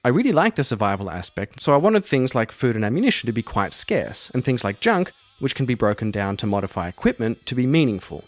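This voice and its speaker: A man hosts a roleplaying podcast with a scripted, narrated delivery. His pitch moves in a lively, animated way, and soft music plays behind him. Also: fast talker, hardly any pauses, no room sound. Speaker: podcast host and solo game master.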